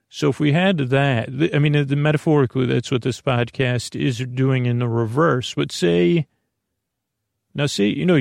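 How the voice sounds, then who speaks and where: A man delivers a meandering bedtime monologue as a podcast host. His voice is full of creak and sits at an ordinary male pitch. He halts, repeats himself and wanders back to where he left off, all in a very dry recording with no room sound. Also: dry recording. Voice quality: gravelly, creaky voice. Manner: halting, repeats words, loses the thread and circles back.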